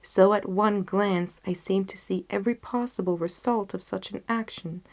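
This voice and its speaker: A woman reading classic fiction aloud as an audiobook narrator, with measured, dramatic storytelling. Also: medium pitch, steady tone.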